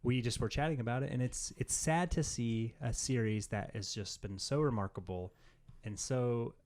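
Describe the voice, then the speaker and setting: A man's voice: mid-range voice, normal speed, darkish tone. Casual rambling man podcaster. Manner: rambling, frequently says "like". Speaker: podcast co-host. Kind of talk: casual chat about games and pop culture.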